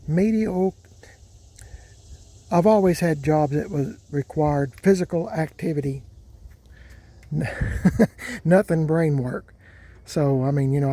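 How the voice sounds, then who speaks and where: An older man talks in a slow, folksy ramble and often repeats himself. His voice has a warm, mellow tone and sits a little high for a man. He speaks casually outdoors as the host of his own vlog. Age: 60s. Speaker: truck channel host. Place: outdoors by parked trucks.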